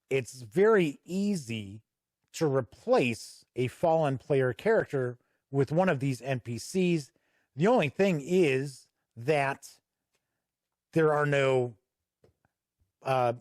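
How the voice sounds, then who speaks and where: A man giving a casual product walkthrough as a reviewer, conversational and halting with frequent pauses. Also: medium pitch, no room sound, studio quality. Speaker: tabletop game reviewer and host.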